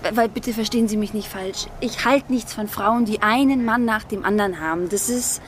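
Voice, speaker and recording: A woman's voice: medium pitch, normal speed, a little hiss or hum, natural voice. An actress playing an earnest, outspoken schoolgirl from a well-off family in a German radio drama, speaking formally and emphatically. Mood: earnest, confiding. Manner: formal address, probing, emphatic.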